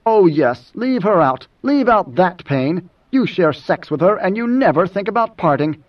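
A man voicing a world-weary older majordomo with a measured, cutting, lecturing manner and quiet command, in an audiobook. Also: a little high, far from the mic, dull audio, close to an everyday voice. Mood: impassioned, scornful.

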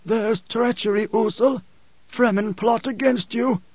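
Man acting a wheezing, high-voiced sick old warrior. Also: steady tone, measured pace, darkish tone, breathy edge, no echo, highs cut off, exaggerated voice. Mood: urgent warning. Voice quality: wheezy, gasping.

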